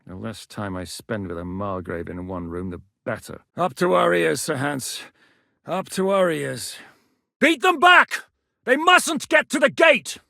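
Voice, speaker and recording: An actor playing a battle-hardened medieval rebel commander in a video game, gruff and dry-humoured, with a blunt, commanding, sardonic manner. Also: male voice, fairly high pitch, very animated, unhurried, sharp tone, no room sound, exaggerated voice. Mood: urgent battle shouting. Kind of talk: dramatic video game character acting.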